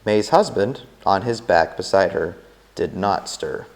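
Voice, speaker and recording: Give me a man reading a chapter aloud to listeners, expressive and a bit gravelly. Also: sits low, a little slow. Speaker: teacher reading a novel aloud. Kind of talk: read-aloud storybook narration.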